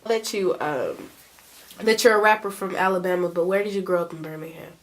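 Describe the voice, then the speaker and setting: A woman's voice: on the low side, a little fast. A woman interviewer asking brief, plain questions.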